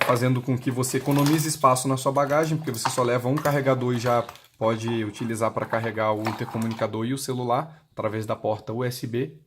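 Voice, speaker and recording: Casting: Brazilian Portuguese man, average pitch, plain hands-on tutorial delivery, noticeably noisy recording. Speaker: intercom seller giving a product demonstration. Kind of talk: unscripted explanatory tutorial, demonstrating step by step. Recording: clearly noisy recording with clicks.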